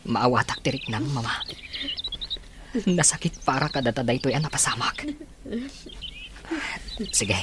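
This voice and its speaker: A man with an Ilocano accent playing a grieving, suspicious yet gentle adult son in a radio drama. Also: mid-range voice, measured pace, long pauses, very noisy, close to an everyday voice. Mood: sad, gentle.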